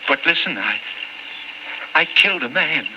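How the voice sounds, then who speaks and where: A man's voice: fairly high pitch, expressive, measured pace, muffled recording. A man acting a meek, aging, lonely clerk in an old-time radio drama, hesitant, stammering and pleading. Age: older. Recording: hissy old radio recording.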